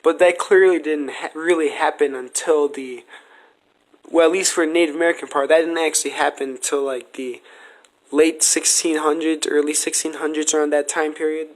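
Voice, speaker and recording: A man's voice: on the high side, steady tone, normal speed, poor audio. A man hosting a podcast, asking questions in a casual interview style.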